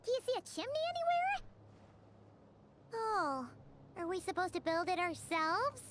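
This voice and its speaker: A woman voicing a tiny, chirpy female fairy companion in a game, with a squeaky, bouncy voice. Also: big pitch swings, measured pace, full character voice. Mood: fussy, chirpy. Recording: game audio under clicking noise.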